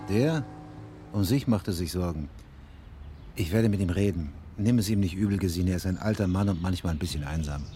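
Guy acting a middle aged detective, really deep voice, dry and sardonic, radio play, music underneath. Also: fairly quick, mellow, close to an everyday voice. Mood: calm, friendly. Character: middle-aged male police inspector, brooding, wry, plain-spoken. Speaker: lead character and first-person narrator. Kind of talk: German radio drama acting.

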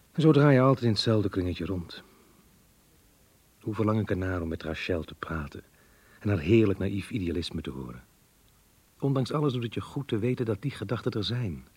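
Radio drama diarist: brooding male schoolteacher, resigned and wistful, deep husky voice, dry close sound. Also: darkish tone, no room sound. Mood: longing. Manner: wistful, reflective, wry. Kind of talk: radio play diary monologue.

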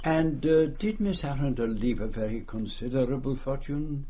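An actor playing a courteous, slightly pompous foreign detective with a Belgian French accent in a radio drama. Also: male voice, mid-range voice, moderate pace, warm tone, slightly rough, dry recording, natural voice. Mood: politely inquisitive. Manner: polite, precise, slightly pompous. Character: courteous, self-satisfied middle-aged foreign detective, a man.

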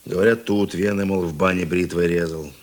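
An actor playing a stern, world-weary middle-aged police chief in a radio drama, with a husky, gruff, dry delivery. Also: male voice, deep voice, fairly even intonation, brisk, darkish tone, natural voice. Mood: dry, sardonic.

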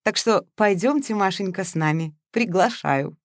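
A woman's voice: mid-range voice, animated, normal speed, dry recording, natural voice. A woman voicing a smug, jovial man who teases and hosts a party, in a dry recording. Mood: teasing. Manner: expansive, teasing.

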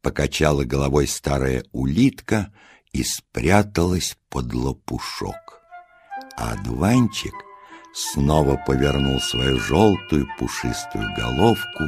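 Very deep, slow male storyteller. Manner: measured, expressive storyteller's delivery. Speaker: narrator. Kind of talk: scripted children's storytelling. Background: music.

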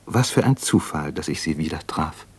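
An actor playing an earnest, homesick young doctor and poet in a reflective, intense 1950s German radio drama style. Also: male voice, rumbling low, animated, moderate pace, slightly rough, full character voice. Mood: tender, wistful.

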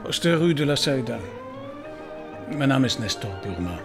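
An actor playing a wry, probing private detective who narrates and questions in a German radio crime drama, dry and laconic, with a gravelly voice. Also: male voice, medium pitch, very animated, moderate pace, music in the background. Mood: polite, businesslike. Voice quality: gravelly, creaky.

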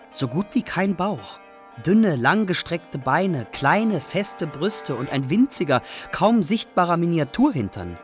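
An actor playing a wry young Iraqi refugee who tells his story in a radio drama with expressive irony. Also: on the high side. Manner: ironic, expressive, carefully phrased. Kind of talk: radio drama narration, literary monologue.

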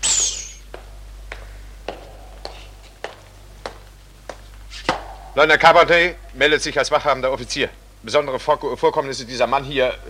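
An actor playing a soft-hearted, agitated army lieutenant in a 1940s German radio play, flustered and stammering, full of exclamations. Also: male voice, mid-range voice, steady tone, fairly quick, lightly acted. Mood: relieved, nervous. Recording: noisy old 1940s radio recording.

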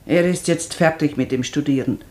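Curt older servant woman, low-pitched acted. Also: normal speed, darkish tone, lightly acted. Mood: humble, firm. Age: middle-aged or older. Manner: curt, matter-of-fact, unadorned. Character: plain, stubborn, thrifty older servant woman, devoted aunt. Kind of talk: German radio play acting.

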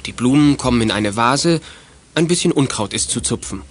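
A man narrating a German children's detective audio drama as a calm, scripted storyteller. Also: mid-range voice, normal speed, breathy edge. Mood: involved.

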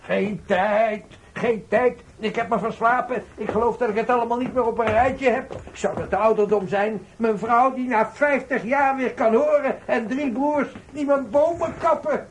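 A man's voice: very high-pitched, moderate pace, hardly any pauses. An actor playing a grumbling, bewildered old woodsman in a radio drama, muttering and repeating himself. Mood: flustered. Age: older. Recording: noisy old radio play recording.

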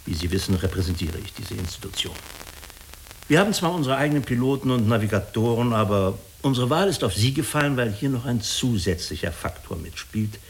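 A man playing a diplomatic, persuasive agency official in a German radio drama, speaking formally and deliberately with small hesitant pauses. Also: mid-range voice, animated. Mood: explanatory, diplomatic. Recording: clearly hissy radio recording.

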